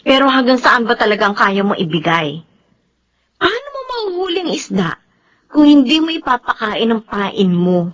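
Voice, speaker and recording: A woman's voice: on the high side, sing-song, normal speed, fairly bright tone, no room sound. A woman acting in a Filipino radio drama as a young female confidante, teasing and skeptical.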